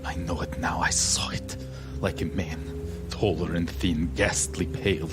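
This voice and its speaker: An actor playing a haunted, superstitious ship's mate in a gothic audio drama, intense and halting. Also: male voice, sits low, steady tone, over loud music. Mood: hushed dread.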